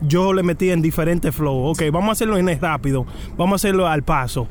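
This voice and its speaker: A man co-hosting a podcast who tells stories in an animated way and drops English slang like "dude". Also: on the high side, quick pace, slight background noise. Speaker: podcast co-host, former rapper. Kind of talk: casual podcast chat.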